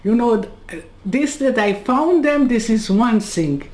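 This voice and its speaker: Woman, 80s, from Serbia; very slow, halting non-native English with rambling asides; webcam interview from home. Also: fairly high pitch, lively intonation, a little mellow. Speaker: Holocaust survivor interviewee. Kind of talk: personal life story told in an interview. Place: home room lined with bookcases. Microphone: webcam on a video call.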